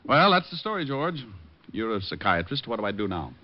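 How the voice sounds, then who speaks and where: An actor playing a wry, quick-witted private detective in a mid-century radio drama, with a casual wisecracking delivery. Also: male voice, medium pitch, sing-song, faint music behind, natural voice. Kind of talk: 1940s-50s radio detective drama acting. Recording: dull old radio recording.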